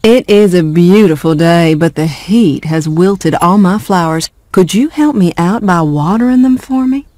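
A woman voicing a kindly female flower seller in a game, gracious, gentle and friendly. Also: fairly low pitch, a little slow, hardly any pauses, no room sound, natural voice. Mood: kindly, grateful. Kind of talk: scripted game cutscene dialogue.

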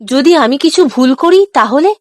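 A woman's voice: high voice, expressive, moderate pace, no room sound, clean studio sound. A woman voicing an earnest, sensitive, clumsy village boy in a moral cartoon, with a childlike manner. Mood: hesitant. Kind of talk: Bengali moral cartoon dialogue.

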